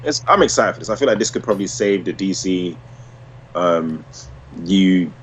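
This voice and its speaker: A man's voice: deep voice, expressive, normal speed, slight background noise. A man co-hosting a podcast in casual, slangy chat with some swearing. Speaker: podcast co-host. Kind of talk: casual chat about comic book movies.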